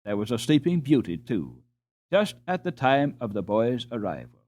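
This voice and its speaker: A man narrating a storybook as an audiobook reader, in a measured, expressive way. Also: mid-range voice.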